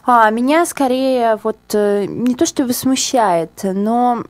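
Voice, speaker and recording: A woman hosting a radio talk show, lively, putting questions to listeners and reading out phone numbers from a studio. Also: fairly high pitch, takes it slowly, no echo. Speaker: radio host. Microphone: studio microphone.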